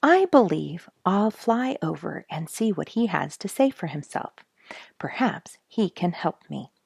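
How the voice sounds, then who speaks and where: A woman's voice: lowish voice, big pitch swings, a little slow, vocal fry, a little mellow, breathy edge, no echo, clean studio sound, heavily acted. A woman voicing a cheerful, polite but worried male bluebird from a storybook, earnest and chirpy. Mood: earnest, pondering. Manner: chirpy, earnest, sing-song calls.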